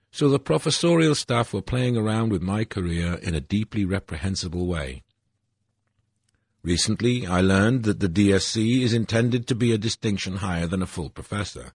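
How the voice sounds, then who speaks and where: Older man, very low voice, husky, lively intonation, formal and aggrieved, dry close sound. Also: no echo. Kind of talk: read personal statement.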